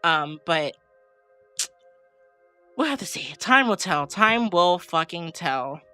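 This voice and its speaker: A woman with a bright, forward voice pitched a little low, chatting casually as a podcast co-host. She has a slight cold and talks in an emphatic, slangy way, with faint music behind her. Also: sing-song, slow pace, clear, resonant tone. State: slight head cold with sniffles. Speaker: podcast co-host and game streamer. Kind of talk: casual unscripted podcast chat.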